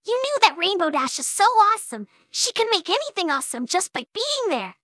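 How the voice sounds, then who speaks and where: A scrappy young pegasus filly from a cartoon pony story. The voice is piercingly high and bright. It is blunt and excitable, with childlike bounce. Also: female voice, a little fast, dry recording, full character voice. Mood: excited.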